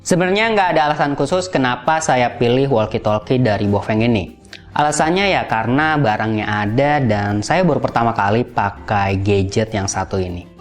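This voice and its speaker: A man's voice: mid-range voice, expressive, moderate pace. A man hosting a gadget review, narrating in a casual, explanatory style. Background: background music.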